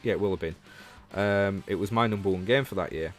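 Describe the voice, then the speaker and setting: A man's voice: deep voice, fairly quick, faint music behind. A man from Leeds with a Northern English accent, chatting casually about games as a livestream host and talking to viewers. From Leeds, England.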